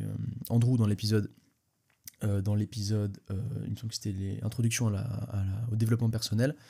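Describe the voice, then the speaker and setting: A man's voice: fairly low pitch, darkish tone, breathy edge. A young man with a cold, sniffling as he hosts a casual solo podcast, rambling with many restarts and speaking straight to the listener. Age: young adult. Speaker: podcast host.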